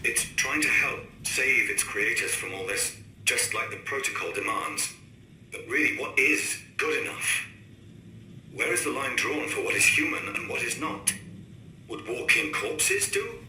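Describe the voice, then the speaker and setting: An actor playing a grave, worried male scientist recording a deliberate, ominous audio log in a game. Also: mid-range voice, huge pitch swings, pushed and bright, heavily acted. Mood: alarmed, urgent. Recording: echoey room with faint noise.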